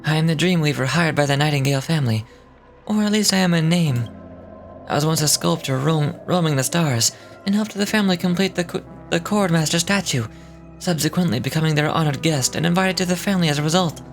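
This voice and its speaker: A woman's voice: low voice, a little fast, soft background music, voice pushed down. A woman reading game dialogue aloud as a courteous, romantic male dreamweaver, formal and poetic in delivery.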